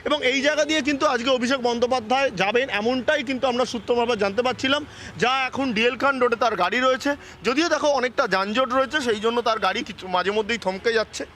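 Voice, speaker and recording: Male live reporter, West Bengal accent, strikingly high pitch, sharp tone, repetitive unscripted running account. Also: fast talker, a little hiss or hum. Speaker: field news reporter.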